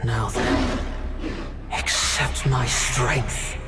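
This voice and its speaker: An actor voicing a haughty, sinister dark spirit in a video game, with grandiose, commanding and seductive delivery. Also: mid-range voice, fairly even intonation, very slow. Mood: seductive persuasion. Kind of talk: dramatic game voice acting. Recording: heavy echoing hall reverb under loud music.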